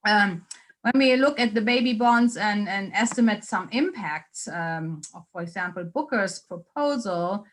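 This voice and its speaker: A woman from Germany with a German accent, answering a question after her lecture in a measured way, with many ums and pauses, over a video call. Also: lowish voice, a bit bright. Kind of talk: academic Q&A answer.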